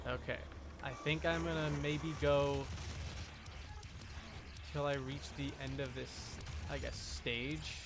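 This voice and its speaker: A man's voice: on the high side, steady tone, slow pace, pauses often, background noise. A man giving casual gaming livestream commentary in short, playful asides, as if talking to the game and chat. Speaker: livestream host.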